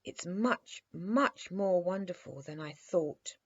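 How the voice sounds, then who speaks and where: A woman's voice: lowish voice, expressive, slow pace, husky, muffled recording, full character voice. A woman voicing a dignified, commanding small boy who plays at being king, in a children's storybook reading. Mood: awed satisfaction. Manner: grand, commanding. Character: dignified, imperious little boy prince playing king.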